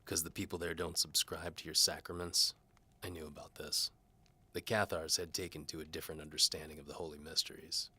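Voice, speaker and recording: A man's voice: a bass voice, little pitch movement, a little fast, bright, forceful tone, breathy edge, exaggerated voice. A man playing a cynical, sarcastic down-on-his-luck mercenary bowman who tells his own story in a wry, noir audio drama style. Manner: sardonic, wry. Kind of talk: first-person noir audio drama narration.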